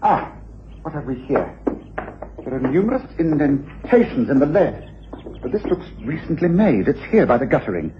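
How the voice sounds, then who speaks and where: An actor playing a composed, methodical British detective in a radio drama, speaking with brisk authority. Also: male voice, on the high side, very animated. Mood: intent, puzzled. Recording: muffled, hissy old radio recording.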